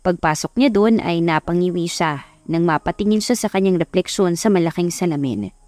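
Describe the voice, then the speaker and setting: A woman's voice: lowish voice, animated, normal speed, a little mellow, no echo. A woman reading a scripted romance story as an audiobook narrator. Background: background music.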